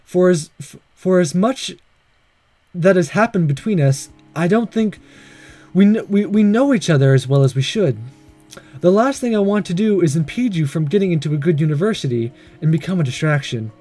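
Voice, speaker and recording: A man voicing a gentle, measured woman teacher and principal in a visual novel, kind and careful in manner. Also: high-pitched, animated, soft background music. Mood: kind, serious. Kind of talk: reading visual novel dialogue aloud.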